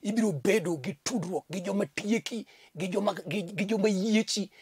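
A man's voice: high voice, fairly quick, crowd noise. A middle-aged man from Kenya preaching a fervent TV sermon at a studio desk, with a recurring thanks-to-God refrain. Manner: fervent preaching with sweeping hand gestures. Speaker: pastor. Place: indoor TV studio set at a desk.

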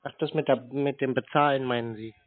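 A man plays the customer in a casual phone conversation. He comes across as naive and a bit slow on the uptake, and the sound is thin and phone-like. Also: mid-range voice, steady tone. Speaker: prank caller posing as customer.

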